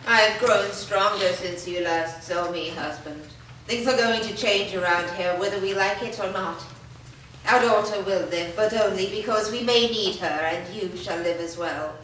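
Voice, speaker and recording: A woman's voice: mid-range voice, measured pace, a bit bright, natural voice. A woman playing a coldly commanding, powerful oracle in a staged fantasy drama reading. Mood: cold menace. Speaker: actor. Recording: echoey room, distant mic, faint noise.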